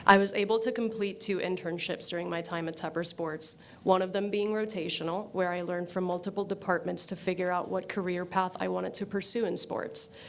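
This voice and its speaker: A woman's voice: lowish voice, fairly even intonation, slight background noise. A woman in her twenties from Puerto Rico, giving an earnest, thankful prepared speech at a podium. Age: 20s. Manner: earnest, thankful, measured delivery. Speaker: scholarship graduate giving a speech. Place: event hall at a podium.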